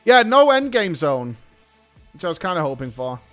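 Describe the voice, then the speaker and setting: A British man with a fairly high, very lively voice reacts casually as a gaming host. He thinks out loud in blunt, colloquial phrases. Music plays behind him. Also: normal speed. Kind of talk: casual live reaction commentary.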